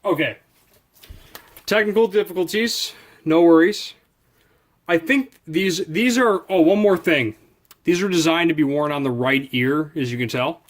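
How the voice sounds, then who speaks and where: A man's voice: a little high, measured pace, long pauses. A man doing a casual, unscripted product review, rambling informally and thinking aloud as he handles things. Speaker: product reviewer hosting a video channel. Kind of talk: casual unscripted unboxing chat.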